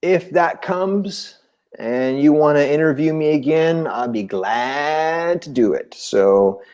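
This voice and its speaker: A man's voice: fairly high pitch, slow pace, a little mellow, smooth, dull audio. A man from California with a Southern California accent, a guest on a live stream, laid-back and blunt, saying dude a lot. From California, USA. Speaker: interview guest, brand ambassador. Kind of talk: casual live-stream chat.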